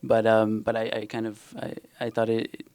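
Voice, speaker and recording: A man's voice: fairly low pitch, monotone, moderate pace, darkish tone, smooth, no echo. A young man from Israel whose first language is Hebrew, speaking hesitantly with many fillers and restarts on a panel. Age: young adult. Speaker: panel author. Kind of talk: panel discussion and reading.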